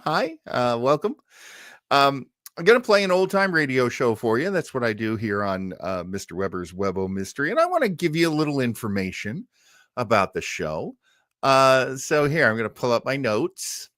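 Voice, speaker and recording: A man's voice: medium pitch, a bit bright, no room sound, studio quality. A man hosting an old-time radio livestream, chatting casually and enthusiastically in a rambling way.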